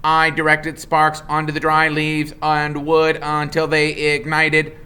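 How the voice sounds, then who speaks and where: Female robot for a children's story, acted by a man: polite, literal, high, bright and measured. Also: monotone, smooth. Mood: matter-of-fact. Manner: measured, precise, matter-of-fact.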